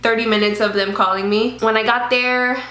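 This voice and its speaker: A woman's voice: a little high, fairly even intonation, moderate pace, sharp tone. A woman talking casually in a personal vlog, with lots of fillers, occasional swearing and a slight lisp from dental aligners. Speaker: vlogger. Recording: roomy echo.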